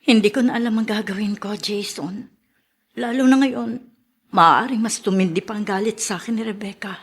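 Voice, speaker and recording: A woman's voice: mid-range voice, normal speed, breathy edge. Worried mother: radio drama, middle register, anxious, hesitant, emotionally earnest. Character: Mariana, worried mother fighting for her child. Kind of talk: Filipino radio drama acting.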